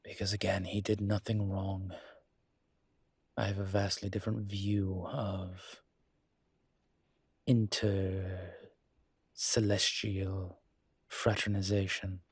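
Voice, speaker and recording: Man voicing male incubus demon, low-pitched, slow-paced, wry, sly, worldly, audio roleplay drama. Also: little pitch movement, breathy edge, no echo, heavily acted. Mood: firm, wry. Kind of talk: dramatic character roleplay acting.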